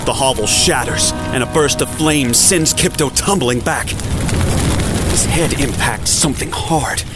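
A man narrating a scripted audio drama in a dramatic storytelling manner with deliberate phrasing. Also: mid-range voice. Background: music and sound effects.

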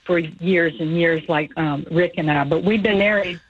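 A woman's voice: on the low side, moderate pace, breathy edge, no echo. An older woman calling in to a radio show, telling her story in a chatty, easy way. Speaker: caller. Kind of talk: casual phone-in chat. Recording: phone line.

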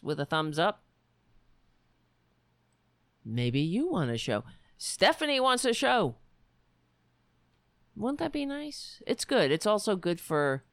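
A woman hosting a political livestream with a worn, raspy voice, talking bluntly and sarcastically while reading the chat. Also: fairly low pitch, fairly bright tone, dry recording. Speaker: livestream show host.

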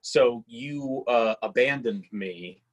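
Casual man, husky voice, halting delivery. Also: medium pitch. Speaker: script reading participant giving feedback. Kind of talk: casual workshop discussion.

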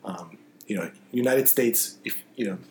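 A man from Croatia, a guitarist guest on a podcast, speaking casually in a halting way with many restarts and fillers. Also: lowish voice, a little mellow, rough recording. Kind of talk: casual interview. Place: busy festival venue, stone hall.

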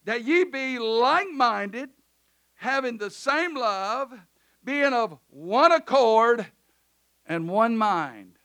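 A man preaching a sermon in a church service, loud at times and then dropping to quiet asides. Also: very high voice, animated, slow. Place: church sanctuary.

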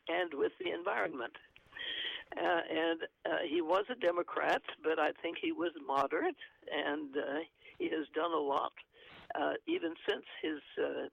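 Gravelly man on a muffled line. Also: fairly high pitch, no echo. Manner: halting, frequent fillers, earnest. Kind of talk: conversational commentary, reading aloud from an article.

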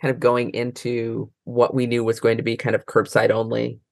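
A woman's voice: very deep voice, completely flat delivery, moderate pace, vocal fry, no echo, clean studio sound. An American woman talking casually as an interview guest, rambling and often trailing off mid-thought. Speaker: interview guest, librarian and trainer.

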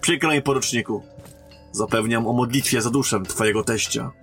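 An actor playing a courteous royal emissary, a captain, in a fantasy audio drama, speaking formally and earnestly. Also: male voice, medium pitch, moderate pace, fairly bright tone, soft background music, lightly acted. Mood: sympathetic.

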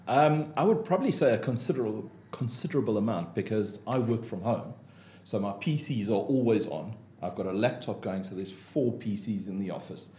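South African man, casual podcast guest, relaxed and chatty, roomy recording. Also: on the low side, a little fast. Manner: relaxed, chatty, with frequent ums and laughs. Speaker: interview guest, motivational speaker and trainer. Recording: echoey room, faint noise.

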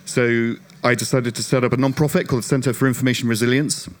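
Slightly croaky British man panelist. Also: medium pitch, fast. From United Kingdom. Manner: self-deprecating, animated storytelling. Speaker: panelist, non-profit director. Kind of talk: panel discussion.

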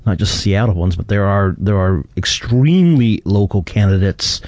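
A man's voice: on the low side, animated, normal speed, a little mellow, dry recording. A man hosting a casual chat, speaking loosely and informally. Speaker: podcast host.

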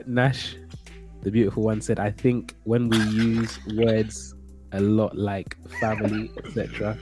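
A man hosting a casual discussion, reading out viewer comments and affirming others with short remarks. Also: lowish voice.

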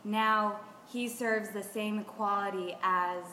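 An American woman explaining things as an interview guest, measured and with pauses. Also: mid-range voice, fairly even intonation, bright, forceful tone. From Seattle, United States. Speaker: theater artist, interview guest. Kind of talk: casual explanation. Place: artist's studio. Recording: echoey reverberant room, faint noise.

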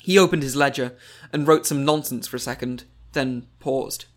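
A man's voice: medium pitch, normal speed. A man reading scripted fiction aloud as an audiobook narrator.

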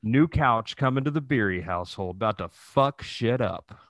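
A man's voice: mid-range voice, expressive, normal speed, fairly bright tone, no echo. A man chatting casually as a podcast co-host, slangy, bantering and profane. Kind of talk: casual chat.

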